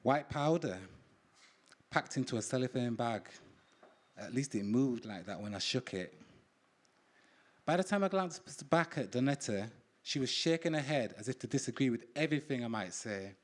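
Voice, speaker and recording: A man reading his own first-person story at a live event, measured and descriptive, voicing bits of dialogue. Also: mid-range voice, lively intonation.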